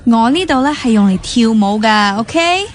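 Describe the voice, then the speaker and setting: A woman's voice: fairly high pitch, lively intonation, moderate pace, close to an everyday voice. An actress with a Hong Kong Cantonese accent playing an inquisitive, teasing young woman who dances, in a radio drama. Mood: playful, teasing. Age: young adult. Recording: music playing behind the dialogue.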